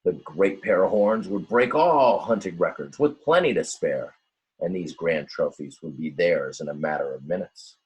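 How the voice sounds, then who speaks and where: A man reading a children's storybook aloud in a dramatic, expressive way, with simple explanatory asides for young listeners. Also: low-pitched, moderate pace, slightly rough, rough recording. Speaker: teacher reading a picture book aloud.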